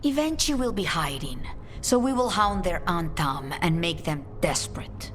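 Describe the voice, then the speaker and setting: A low-pitched woman's voice with faint music behind it. She is a composed, resolute underworld leader who chooses her words formally and deliberately, as in a dramatic fantasy cutscene. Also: animated, a bit bright. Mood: grave resolve. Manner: measured, formal, deliberate phrasing. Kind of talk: video game cutscene acting.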